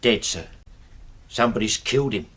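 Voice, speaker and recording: An actor playing a grave, deferential manservant in a radio drama. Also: male voice, fairly low pitch, animated, normal speed, a bit bright, slightly rough. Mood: grave, shaken. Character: deferential male servant bearing grim news.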